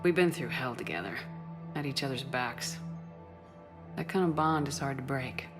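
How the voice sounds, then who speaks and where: An actress playing a steady, supportive military commander in a video game, speaking in a direct, plain-spoken way. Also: female voice, a bass voice, moderate pace, over loud music. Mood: sincere. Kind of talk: video game cutscene dialogue.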